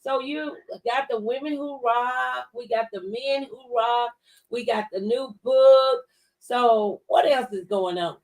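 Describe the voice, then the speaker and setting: A woman's voice: fairly high pitch, slow pace, poor audio. A woman hosting a casual talk show, encouraging her guest with affirmations and repeating things back, recorded a little away from the mic.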